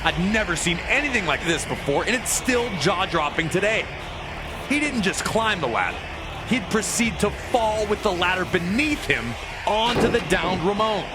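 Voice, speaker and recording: A man's voice: high voice, expressive, moderate pace. A man delivering scripted, documentary-style sports narration in a dramatic storytelling manner, as a wrestling commentator. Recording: loud music behind the voice. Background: loud music.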